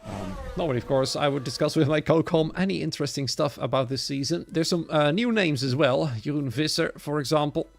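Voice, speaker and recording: A man's voice: on the high side, brisk, gravelly, soft background music. A man from the Netherlands with a Dutch accent, a native Dutch speaker, giving live solo commentary on sim racing.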